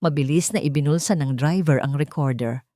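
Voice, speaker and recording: A woman's voice: sits low, a little fast, mellow, dry recording, clean studio sound. A woman with a Filipino accent narrating a dramatic scripted story. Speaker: narrator of love stories.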